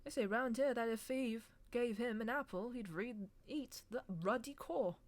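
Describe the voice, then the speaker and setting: A woman's voice: fairly high pitch, a little slow, voice pushed up, natural voice. A woman reading an audiobook voices a male pub landlord who grumbles and jeers at a customer. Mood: scornful. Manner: grumbling, jeering.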